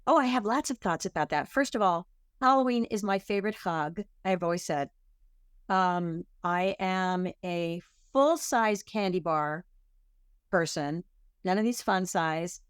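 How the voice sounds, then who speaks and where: A woman's voice: fairly low pitch, measured pace, clean tone, no echo, clean studio sound. A woman guest in an interview, answering in a chatty way with many fillers and restarts. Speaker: author and klezmer singer, guest.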